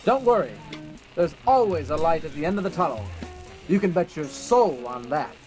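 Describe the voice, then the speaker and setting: A man's voice: on the high side, expressive, normal speed, over music, close to an everyday voice, low-quality recording. A man playing a reassuring, philosophical fellow in an old-time radio drama.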